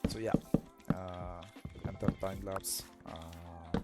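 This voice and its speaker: A man from Colombia with a Colombian Spanish accent, Spanish as his first language, giving relaxed gameplay commentary while hesitating and searching for words. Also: deep voice, takes it slowly, over loud music. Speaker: let's play creator.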